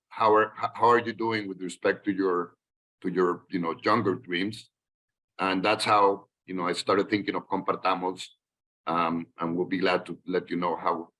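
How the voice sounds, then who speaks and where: A man, a guest telling his career story in a podcast interview in a measured, reflective way. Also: low voice, a bit bright, poor audio. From Colombia. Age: 60s. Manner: measured, reflective, with frequent fillers and restarts. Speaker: guest, investment banker.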